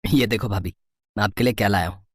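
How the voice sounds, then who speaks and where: A man's voice: on the low side, fast talker, slight background noise, lightly acted. A man voicing an affectionate, hapless young husband in a cartoon story, with a mild, coaxing manner. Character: soft-spoken young brother-in-law, affectionate, hapless husband.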